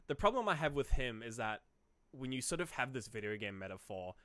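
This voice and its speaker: A man co-hosting a podcast, chatting casually about films in a rambling, halting way, often quoting imagined reactions. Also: mid-range voice, very animated, sharp tone, no room sound. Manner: rambling, halting explanations full of hedges and self-quotes. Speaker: podcast co-host. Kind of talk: casual film discussion.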